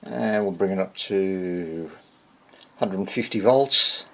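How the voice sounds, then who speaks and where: A man giving casual, hands-on repair commentary as he hosts a video, thinking aloud in a husky voice. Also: low voice, slow pace. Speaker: vintage radio repair hobbyist hosting video.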